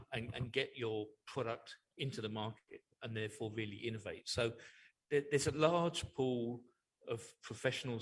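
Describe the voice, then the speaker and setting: A man's voice: medium pitch, low-quality recording. Plain-spoken male panelist explaining. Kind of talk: panel discussion.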